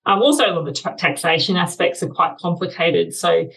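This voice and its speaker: Woman, Australian accent, lowish creaky voice, economist guest, informal explanatory commentary. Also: a little fast, a bit bright, low-quality recording. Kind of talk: conversational expert commentary.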